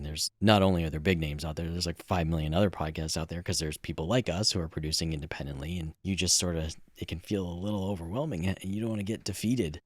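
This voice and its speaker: A man with a low-pitched voice co-hosts a podcast. He talks casually and conversationally, and the recording is dry, with hardly any room sound. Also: no echo. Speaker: podcast co-host.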